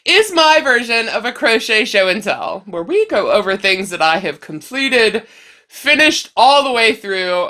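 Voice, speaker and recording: A woman with a bright, forward voice chats casually as a craft vlog host. She takes her time and stretches words playfully for emphasis. A head cold leaves her sounding a little stuffy. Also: on the high side. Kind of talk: casual chatty talk to camera.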